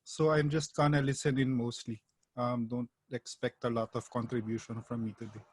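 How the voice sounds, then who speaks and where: A man joining a casual video call chat, speaking in a brisk, wry way. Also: medium pitch, flat delivery, no echo. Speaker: discussion participant.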